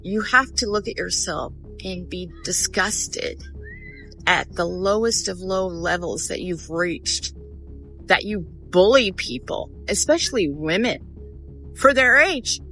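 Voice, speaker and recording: A woman addressing viewers directly in a scolding, deliberate manner. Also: medium pitch, lively intonation, sharp tone, faint music behind, rough recording.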